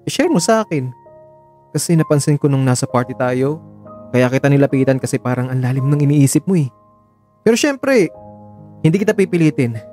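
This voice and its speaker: Radio drama boyfriend, acted by a man: eager, tender, conversational, dark soft tone over light music. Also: medium pitch, close to an everyday voice. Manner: gentle, reassuring, conversational Taglish.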